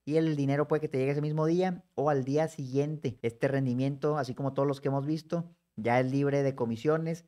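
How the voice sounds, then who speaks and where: A man, explaining savings options in a lively, conversational tutorial that speaks straight to the viewer. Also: mid-range voice. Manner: brisk, conversational, addresses viewer directly. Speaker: personal finance educator. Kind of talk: explanatory screen-share tutorial.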